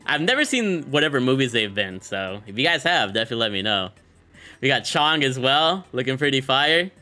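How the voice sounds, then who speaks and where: Casting: young male gaming creator, bright forceful voice, casual slangy enthusiasm, music underneath. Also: medium pitch, very animated, brisk. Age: young adult. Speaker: gaming content creator and host. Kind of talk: casual excited game bundle showcase commentary.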